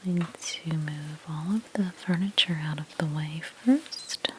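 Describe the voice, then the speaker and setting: A woman narrates an ASMR roleplay in a soft, breathy near-whisper. Her voice sits fairly low and she takes her time, gently explaining one step after another. Clear background noise runs behind her. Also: slow pace. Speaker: ASMR creator playing a house cleaner.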